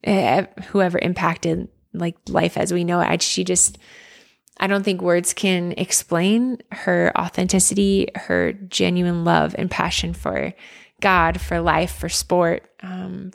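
A woman's voice: lowish voice, creaky. A woman in her forties from near Philadelphia, a former basketball player speaking as an interview guest. She talks in an earnest, candid way, full of fillers and restarts. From United States, near Philadelphia. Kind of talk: reflective conversational interview.

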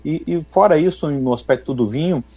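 A middle-aged man from Brazil, a winemaker guest in a radio interview, explaining in a measured way with frequent tag questions. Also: fairly high pitch, mellow, dry recording, muffled recording.

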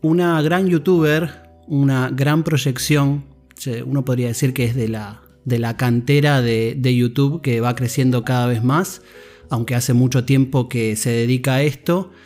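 A man's voice: mid-range voice, fairly even intonation, darkish tone, soft background music. Argentine man hosting a chatty podcast. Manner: friendly, rambling, halting with fillers. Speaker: podcast host. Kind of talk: casual interview chat.

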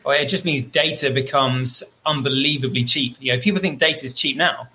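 A man's voice: mid-range voice, fairly quick, pushed and bright. A man appearing as a guest on a talk show, earnestly explaining with frequent fillers. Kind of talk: casual talk show discussion.

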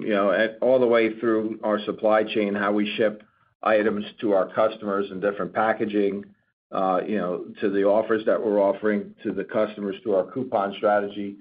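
A man speaking as a company executive, answering questions on an earnings call in a halting, corporate way. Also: lowish voice. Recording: narrow, tinny phone-conference line.

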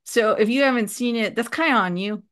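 A woman in her thirties hosting a podcast, talking in a relaxed, casual way with lots of ums. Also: a little high, fairly quick. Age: 30s. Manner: relaxed, hesitant, many ums. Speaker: podcast host. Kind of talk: casual chat.

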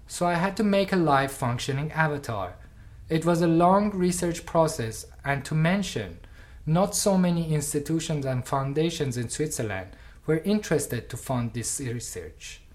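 Measured speaker. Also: medium pitch, a little mellow. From Iran. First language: Farsi. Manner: measured, personal and earnest. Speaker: artist and doctoral researcher. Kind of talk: reflective lecture performance.

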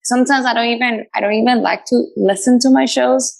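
Woman guest from Latin America, gravelly chatty delivery full of fillers. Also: on the high side, fairly even intonation, fairly quick. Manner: chatty, many fillers and restarts, laughs often. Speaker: radio show host, guest. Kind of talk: casual interview answers.

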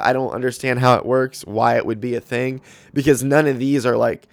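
A man's voice: medium pitch, no room sound, clean studio sound. A man in his twenties hosting a solo podcast, talking casually and rambling with lots of fillers. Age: 20s. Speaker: podcast host.

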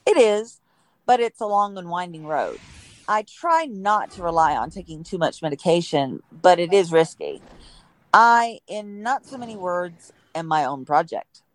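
A woman's voice: fairly low pitch, lively intonation, measured pace, poor audio. A woman playing an edgy, eccentric woman, guarded yet frank, in an audio drama. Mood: frank, intense. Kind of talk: amateur audio drama dialogue.

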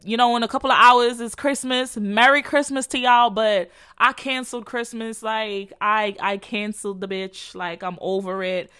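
Young Jamaican woman, solo podcast host, bright and forward voice, casual profane slang. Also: fairly high pitch, fairly even intonation, moderate pace, few pauses, clear, resonant tone. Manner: candid, profane, slangy and rambling. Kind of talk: casual solo chat to followers.

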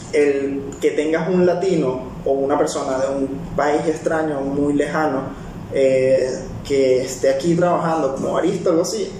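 A man's voice: a little high, very echoey, distant mic, background noise. A Venezuelan man working as a barista abroad, talking casually and rambling with many fillers and restarts. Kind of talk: casual personal talk.

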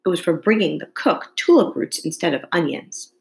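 A woman's voice: lowish voice, expressive, moderate pace, vocal fry. A woman voicing a sulky storybook gardener who bickers and blames in a snappish way. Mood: sulky, quarrelsome.

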